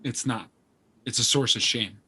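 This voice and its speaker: Male actor as guilty privileged writer, crisp bright edge, mid-pitched, hesitant, polite, naturalistic play dialogue. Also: moderate pace, a little hiss or hum. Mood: defensive, uneasy. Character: earnest, self-deprecating male aspiring novelist, privileged and guilty.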